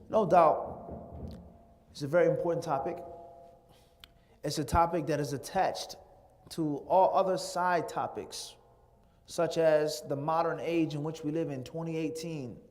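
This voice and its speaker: Guy giving a sermon, slightly high voice, stacks up lists of words, long hall reverb. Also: darkish tone. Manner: rhetorical, piles up lists of words. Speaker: Islamic lecturer. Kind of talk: lecture-style sermon. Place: large hall of an Islamic center.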